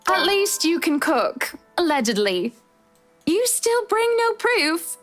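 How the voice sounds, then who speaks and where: Visual novel wife, high voice, polite, measured, hesitant, music underneath. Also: female voice, big pitch swings, a bit bright, full character voice. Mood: polite teasing. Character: wife gently asking her husband for a separation. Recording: music behind the voice.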